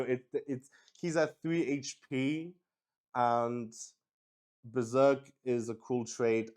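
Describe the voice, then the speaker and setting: A man's voice: lowish voice, darkish tone, smooth. A man narrating a solo tabletop roleplaying game casually, thinking aloud, mocking himself and swearing freely. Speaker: solo player and game master.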